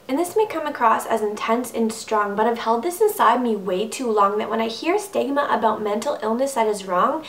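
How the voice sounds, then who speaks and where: A woman's voice: on the high side, creaky. A young adult woman performing a slam poem in an earnest, emphatic, rhythmic style. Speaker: slam poet. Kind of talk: slam poetry recitation. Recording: roomy, distant mic, faint noise.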